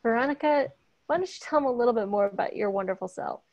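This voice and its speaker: Woman activist, fairly high voice, friendly, dry close sound. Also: animated, fast talker, no echo, dull audio. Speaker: author and activist. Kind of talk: webinar introduction. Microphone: video call.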